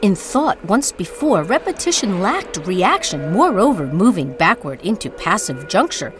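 A woman delivers a theatrical spoken-word monologue in an incantatory way. Her mid-pitched voice moves widely up and down through deliberate phrases, with music faintly behind her. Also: very animated. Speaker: narrator and performer.

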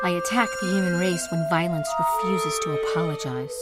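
A woman performing a dramatic spoken-word monologue as narrator, with theatrical, deliberate, incantatory phrasing. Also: on the low side, fairly even intonation, loud music behind the voice.

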